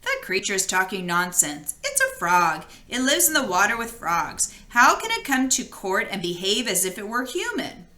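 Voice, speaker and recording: A woman's voice: medium pitch, huge pitch swings, normal speed, few pauses, pushed and bright, pitched below the performer's own voice. A woman voicing a spoiled, dramatic young princess in a storybook fairy tale. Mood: scornful.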